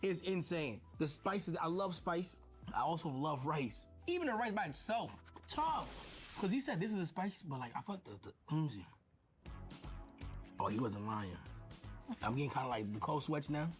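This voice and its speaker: A young man with a Dominican accent talks in a rapid, slangy, excited way, joking as he tastes dishes in a hot restaurant kitchen. His voice sits a bit high for a man. Music runs faintly behind him. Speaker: streamer. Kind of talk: casual food vlog chat.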